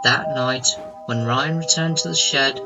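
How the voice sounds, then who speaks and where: A man's voice: medium pitch, measured pace, lo-fi sound. A man narrating a scripted children's story as part of an amateur audio drama. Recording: loud music under the narration.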